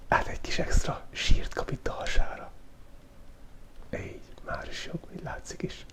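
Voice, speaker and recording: A man from Hungary with a cold, whispering playful ASMR commentary as he sketches, through a small mic taped right to his face. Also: a bit bright. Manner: playful, joking asides while sketching. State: has a cold. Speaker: YouTube drawing channel host. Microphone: small mic taped to his face, very close.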